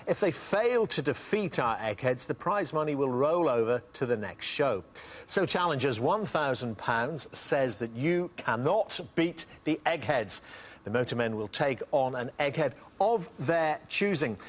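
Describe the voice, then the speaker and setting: A man hosting a studio quiz show, welcoming players, explaining rules and reading out questions in a brisk, upbeat way. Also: medium pitch, a bit bright.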